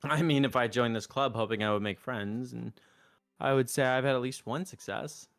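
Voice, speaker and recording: A man's voice: mid-range voice, normal speed, a bit bright, soft background music. A man streaming a game, reading a visual novel's narration and dialogue aloud into a close mic.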